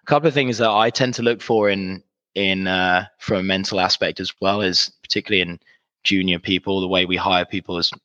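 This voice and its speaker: Guest, male, congested from a cold, low monotone, dry close sound, hesitant repeats. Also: a little fast, no room sound, lo-fi sound. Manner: many fillers and repeated words, earnest. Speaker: recruitment executive, guest. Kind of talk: casual interview answers. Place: remote, in Dallas.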